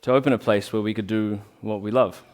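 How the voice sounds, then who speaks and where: A man's voice: fairly low pitch, steady tone, brisk, darkish tone. A man giving an informal talk to a small audience, earnest and reflective, often restarting his sentences. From Australia. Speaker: talk speaker, rolfer.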